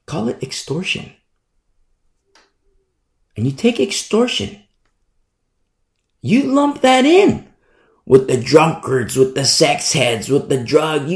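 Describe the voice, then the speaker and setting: A man preaching with a lively, swooping delivery and a gravelly edge to his somewhat high voice. He repeats key words again and again and acts out the people he talks about. The sound carries the echo of a roomy space. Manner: animated, repetitive preaching with mock quotes. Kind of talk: sermon. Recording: echoey room sound.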